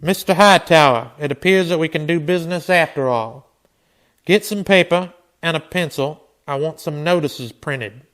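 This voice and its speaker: An actor playing a steady frontier town marshal, commanding but gentle, in a Western radio drama. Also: male voice, a little high, moderate pace, close to an everyday voice. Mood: brisk, businesslike.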